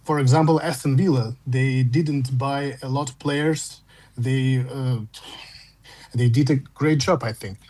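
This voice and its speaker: A man with a Russian accent, calling in to a fan show, speaking English as a second language in halting phrases with frequent restarts. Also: mid-range voice, fairly even intonation, dark tone. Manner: halting, repeats words and restarts while searching for English. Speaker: caller. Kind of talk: phone-in fan chat.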